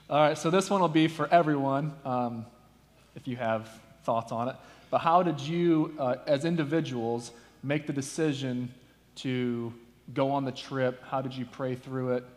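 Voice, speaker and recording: A man's voice: mid-range voice, a little slow. Moderator, man, friendly questioner, echoing church hall. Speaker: panel moderator. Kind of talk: panel discussion. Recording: echoey hall.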